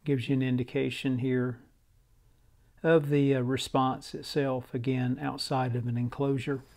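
A man giving a hands-on workbench demonstration as host, speaking in a halting, unhurried, matter-of-fact way. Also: medium pitch, little pitch movement, darkish tone. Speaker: host demonstrating a vintage radio repair. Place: indoor workbench.

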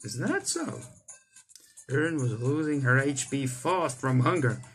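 A man's voice: medium pitch, very animated, unhurried. Young man reading aloud dramatically over music. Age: young adult. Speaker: gaming YouTuber reading a visual novel aloud. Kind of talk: animated read-aloud of visual novel text. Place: indoor room, on webcam. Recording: game music behind the voice. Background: visual novel game music.

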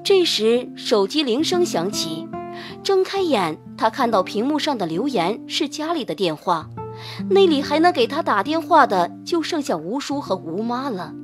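A woman's voice: high-pitched, big pitch swings, normal speed, over music. A woman narrating a novel in a scripted, dramatic audiobook style.

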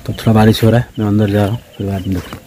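A man's voice: fairly low pitch, level tone, very fast, mellow. A man in his thirties from Odisha with an Odia accent, explaining things casually and repetitively as he narrates a vlog on a handheld phone. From Odisha, India. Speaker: village vlogger. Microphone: phone at arm's length. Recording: noisy handheld phone recording.

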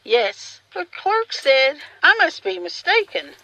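An actress playing a kindly aunt in a radio drama. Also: female voice, extremely high pitch, very animated, slow pace, creaky, bright, forceful tone, no echo, full character voice. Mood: concerned. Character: kindly aunt arriving from out of town.

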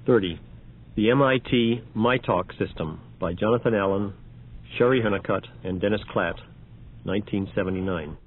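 A man reading scripted announcements for an audio demonstration in a measured, matter-of-fact way. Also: on the low side, slightly rough. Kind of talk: scripted announcing of numbered examples. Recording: muffled, phone-like archival recording.

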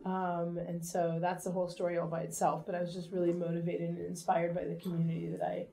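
A woman in her forties, a longtime high school teacher, speaks on a seated panel while her voice is worn down by a cold. Her tone is mellow and friendly, with a self-deprecating touch. A camera mic picks her up from across a lecture room. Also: completely flat delivery, fast, vocal fry. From Southern California. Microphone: far camera.

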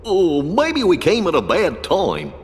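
A man's voice: high-pitched, huge pitch swings, unhurried, heavily acted. A man voicing a goofy, good-natured cartoon dog in a video game, with a dopey drawl. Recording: hall reverb, faint noise.

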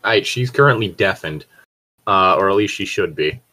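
A man with a low voice reads aloud informally as part of an online group. He breaks off for joking, sardonic remarks and swears freely. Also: expressive, moderate pace, bright, forceful tone. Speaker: co-host reader. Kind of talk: casual group read-aloud of fan fiction with joking commentary. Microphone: own mic on an online voice chat.

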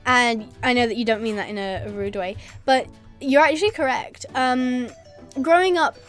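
A woman's voice: on the high side, normal speed, soft background music. A young English woman, a YouTuber, chatting casually to camera as she answers viewer comments, with frequent ums and laughs. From England. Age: young adult. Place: indoors in front of a green screen. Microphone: facing camera at close range.

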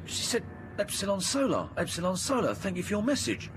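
Anxious high-voiced spaceship radio man. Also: wildly swooping pitch, brisk, background noise. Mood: anxious, earnest. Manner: earnest, insistent. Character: earnest, anxious male radio operator hearing voices. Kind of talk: 1980s science-fiction radio drama acting.

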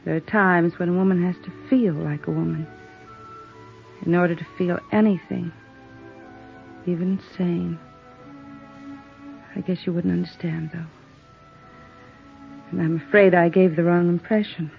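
A tired proud american dame in an old radio play, gravelly edge, confiding, real tinny recording. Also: female voice, lowish voice, fairly even intonation, unhurried, pauses often, soft background music. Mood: weary, vulnerable. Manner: world-weary, dramatic, confiding. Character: weary, proud, vulnerable woman keeping up a front. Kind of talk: 1950s radio drama acting.

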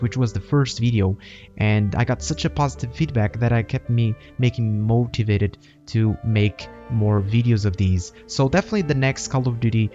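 Bright-voiced male gaming host. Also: mid-range voice. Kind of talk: informal video outro voice-over. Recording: slightly dull, faint music. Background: faint music.

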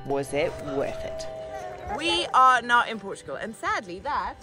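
Lively, enthusiastic woman vlogger. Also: fairly high pitch, unhurried, music in the background. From Zimbabwe. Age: 30s. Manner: enthusiastic, chatty. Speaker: vlog host. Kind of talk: upbeat vlog narration and chat to camera.

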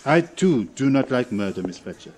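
An actor playing a courteous, self-assured, fussy Belgian detective with a French-speaking Belgian accent and precise delivery, in 1940s radio drama style. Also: male voice, mid-range voice, sing-song, moderate pace, a little mellow, a little hiss or hum, natural voice. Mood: self-satisfied, explaining. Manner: precise, theatrical, with rhetorical tags. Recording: 1940s radio broadcast with music.

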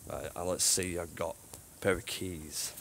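A man who cleans a train station, giving a casual walking tour on his phone, chatty and rambling, with a deep voice. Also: steady tone, unhurried, creaky, fairly bright tone, slight background noise. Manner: chatty, rambling, says "you know what I mean". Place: old train station toilets and platforms at night. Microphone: handheld phone.

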